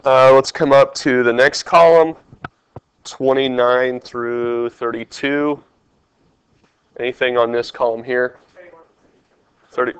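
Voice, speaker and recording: A man's voice: medium pitch, smooth, low-quality recording. A man with a stuffed-up, congested voice, walking a class through test questions step by step and quizzing them as he goes. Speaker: math teacher. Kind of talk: test review lesson over screen capture.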